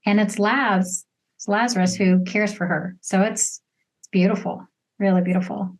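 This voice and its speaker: A woman's voice: medium pitch, fairly even intonation, normal speed. Lady hosting an interview, medium voice, just chatting with her guest. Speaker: interview host. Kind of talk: conversational interviewing.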